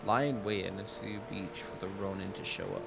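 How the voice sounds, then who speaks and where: A man's voice: low-pitched, fairly even intonation, a little fast, vocal fry. A man doing casual, slangy live commentary as he streams a video game, with wry interjections. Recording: loud game music behind. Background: loud video game music.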